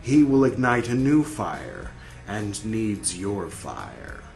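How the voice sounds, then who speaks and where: Man voicing a grave probing soul, slow delivery, lively pitch swings, faint music behind. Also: gravelly, heavily acted. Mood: urging. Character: solemn disembodied soul, male, stern and probing.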